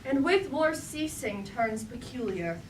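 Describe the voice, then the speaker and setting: A woman's voice: fairly high pitch, lively intonation, unhurried. A woman playing a grave Greek chorus member, declaiming rhythmic verse, recorded from a distance. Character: grave female Greek tragedy chorus member recounting fallen brothers. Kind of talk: staged reading of verse tragedy. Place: indoor room at a live staged reading. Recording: distant mic in a noisy room.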